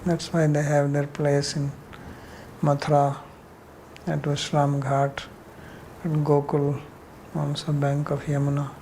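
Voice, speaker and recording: A man's voice: on the high side, monotone, slow pace, warm tone, slight background noise. Indian man teaching, blunt and questioning. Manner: blunt, challenging, uses rhetorical questions. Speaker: spiritual teacher leading scripture class. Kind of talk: informal class discussion, answering questions.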